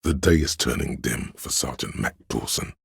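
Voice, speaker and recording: A man delivering dramatic, literary narration for a video game in a grave, deliberate, gravelly voice, recorded close in a studio. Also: no room sound. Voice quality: gravelly, husky, very deep. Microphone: close studio microphone, dry.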